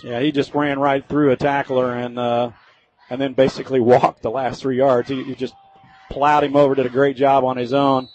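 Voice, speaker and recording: A man's voice: medium pitch, little pitch movement, normal speed, darkish tone, a little hiss or hum. A man giving casual, folksy color commentary on a football radio broadcast. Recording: slightly dull, lo-fi radio audio.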